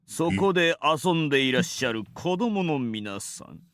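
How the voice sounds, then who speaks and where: A man voicing a polite shop owner in a Japanese visual novel, with measured, courteous delivery. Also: on the high side, big pitch swings, fairly bright tone. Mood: coldly polite. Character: polite male shop owner, gentle with an icy edge. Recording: game music behind the voice.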